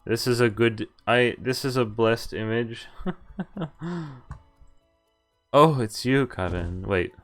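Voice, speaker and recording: A man's voice: mid-range voice, takes it slowly, long pauses, fairly bright tone, soft background music. A man giving casual, amused and incredulous live commentary on a visual novel game, reading some of its text aloud. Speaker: game streamer.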